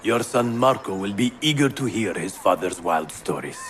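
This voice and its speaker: An actor playing an aged, wise and weary assassin mentor, grave and reflective, in video game cutscene style. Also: male voice, lowish voice, expressive, normal speed, a bit bright. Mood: kindly, grave. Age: older. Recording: music behind the voice.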